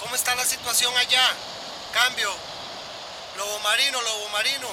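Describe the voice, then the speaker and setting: A man's voice: very high-pitched, little pitch movement, moderate pace. A man playing an urgent emergency radio operator in a radio drama, calling in clipped phrases over a two-way radio. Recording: noisy shortwave radio transmission.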